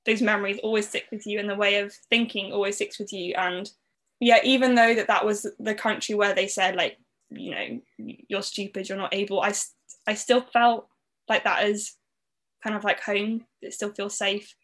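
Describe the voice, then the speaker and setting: A young woman with a Southern English accent talks as a podcast guest. She is chatty and fills her sentences with "like". Her pitch sits in the middle for a woman. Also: fairly even intonation, moderate pace, a bit bright. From Netherlands. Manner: chatty, frequent "like" fillers. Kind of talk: podcast interview, personal story.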